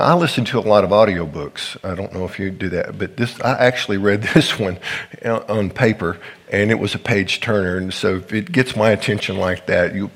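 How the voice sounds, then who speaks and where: Sick pastor guy, really low voice, loose casual sermon talk. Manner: casual, self-deprecating asides with fillers. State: sick, voice deeper than usual. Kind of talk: sermon with prayer.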